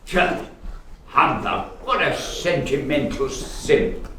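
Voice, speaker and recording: A miserly grumpy old uncle for a radio play, swoops around a lot, big roomy echo. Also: male voice, mid-range voice, animated, pushed and bright. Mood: gruff, demanding. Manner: grumpy, theatrical, drawn-out delivery. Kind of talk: golden-age radio play acting. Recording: echoey room, distant mic, faint noise.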